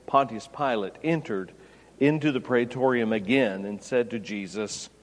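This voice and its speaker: A man's voice: mid-range voice, moderate pace, big-room sound. A man preaching a sermon, explaining scripture and raising his voice when quoting. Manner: expository preaching, raises voice for quoted lines. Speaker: pastor.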